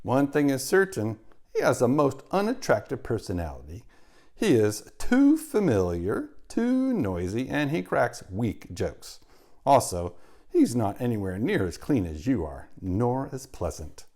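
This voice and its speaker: A man voicing a polite, wise female spider who speaks in a measured way. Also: mid-range voice, huge pitch swings, darkish tone, exaggerated voice. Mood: prim.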